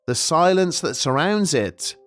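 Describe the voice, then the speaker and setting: A man's voice: fairly high pitch, very animated, measured pace, a bit bright. Man narrating a mystery documentary over music. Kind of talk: scripted documentary storytelling narration. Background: background music.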